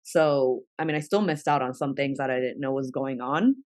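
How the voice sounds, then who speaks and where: A woman's voice: extremely low pitch, normal speed, darkish tone, no room sound. Young woman guest, Spanish first language, chatty with fillers, dry close-mic sound. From New York. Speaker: guest, travel content creator. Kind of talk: casual interview talk.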